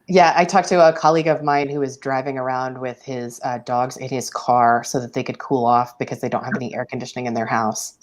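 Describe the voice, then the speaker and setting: A woman in her forties from Oklahoma, a radio station director giving plain-spoken, steady interview answers with frequent ums. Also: very deep voice, fairly quick, hardly any pauses.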